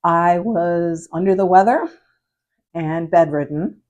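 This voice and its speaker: Hoarse woman preacher, low mellow voice, unhurried earnest delivery, raspy from recent illness. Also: muffled recording. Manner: slow, earnest, drops softly at phrase ends; prayerful, reflective. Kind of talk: devotional message.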